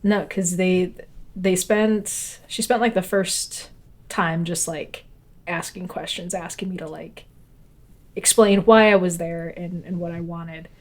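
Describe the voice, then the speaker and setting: A woman co-hosting a podcast in casual conversation, with halting, informal phrasing that trails off. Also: fairly low pitch, fairly even intonation. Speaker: podcast co-host.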